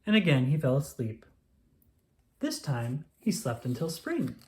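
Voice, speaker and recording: Animated children's storybook narrator. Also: medium pitch, measured pace.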